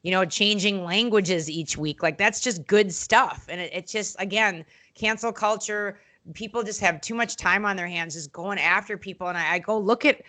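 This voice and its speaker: A woman guest on a podcast, telling stories about her wrestling days in an animated, outspoken way, full of fillers and acted-out quotes. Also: medium pitch, moderate pace. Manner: animated storytelling, acts out quotes in other voices; frequent fillers and restarts, blunt and outspoken. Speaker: podcast guest, former wrestling interviewer and manager. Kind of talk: casual podcast interview.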